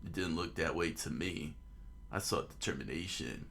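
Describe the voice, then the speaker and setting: A man voicing a cool, determined pirate fighter in a terse, low-key way for a story reading. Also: lively intonation, normal speed, creaky, a bit bright, exaggerated voice. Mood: calm.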